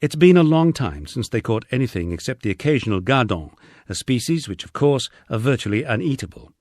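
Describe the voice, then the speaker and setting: A man's voice: mid-range voice, very animated, fairly quick, darkish tone, no room sound, studio quality. A man reading a memoir as a scripted audiobook narration.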